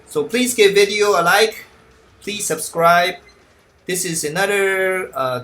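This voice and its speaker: A man giving unscripted video game walkthrough commentary in a halting, explanatory way. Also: mid-range voice, fairly bright tone. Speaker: video game guide host.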